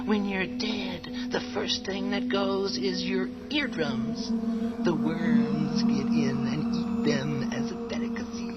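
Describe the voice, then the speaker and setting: A man's voice: high voice, slow. An actor playing a sarcastic, grumbling young man who bullies and teases in a radio horror drama. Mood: spooky teasing. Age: young adult. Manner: sarcastic, grumbling, theatrical teasing. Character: sarcastic young man, mocking bullying older brother. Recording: dull lo-fi radio play, loud music.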